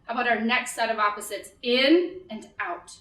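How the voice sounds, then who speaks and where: A woman leading a toddler storytime in simple short phrases, with an animated, sing-song, encouraging manner. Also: fairly high pitch, moderate pace. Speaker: children's librarian leading storytime. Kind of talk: toddler storytime, singing-along instruction and read-aloud. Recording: echoey room.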